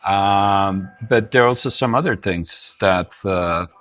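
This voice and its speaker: A man's voice: sits low, a little slow, fairly bright tone. Hesitant man explaining data projects. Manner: hesitant, many restarts and fillers. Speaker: data consultant. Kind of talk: casual business conversation.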